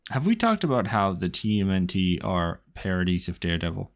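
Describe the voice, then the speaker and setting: A man's voice: deep voice, big pitch swings, a little fast. A man co-hosting a podcast, rambling in casual chat and swearing freely. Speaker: podcast co-host.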